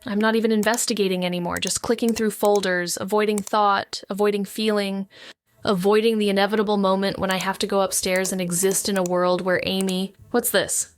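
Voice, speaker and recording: A woman's voice: mid-range voice, level tone, fairly quick, slight background noise, lightly acted. An actress playing a wounded, avoidant woman, narrating a noir audio drama in an introspective, brooding way. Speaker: narrating character.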